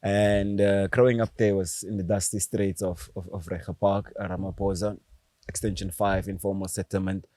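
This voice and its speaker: South african guy, lowish voice, halting repeats, dry studio sound. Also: flat delivery, dark tone, dry recording. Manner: halting, repeats words while recalling. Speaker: podcast guest, former footballer. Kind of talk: casual interview chat.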